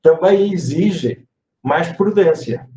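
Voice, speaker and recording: A man from Portugal with a European Portuguese accent, moderating a webinar in a measured, reflective way, with hesitations and tag questions. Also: a little high, animated, mellow. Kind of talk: webinar discussion. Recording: echoey room, distant mic, faint noise.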